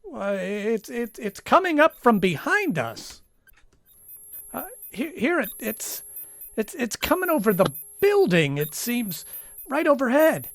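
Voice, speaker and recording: A loyal military radar sergeant acted by a woman in a radio play. The delivery is tentative and deferential, full of stammers, with a slightly high, very mobile pitch. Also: big pitch swings, slow, no echo, exaggerated voice. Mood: nervous, amazed. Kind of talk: live radio drama acting.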